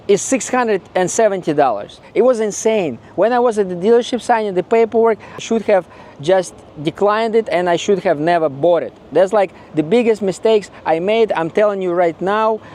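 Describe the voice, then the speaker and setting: A man's voice: sits high, moderate pace, a little mellow, a little hiss or hum. A man with a Ukrainian accent talking casually in a vlog, blunt and regretful, often repeating himself. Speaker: car owner vlogger.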